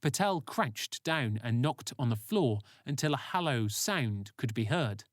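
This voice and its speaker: A storyteller reading scripted fiction in audiobook style. The middle-pitched voice moves with lively intonation, and the recording is dry with little room sound. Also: unhurried, no echo. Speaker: author narrating own story.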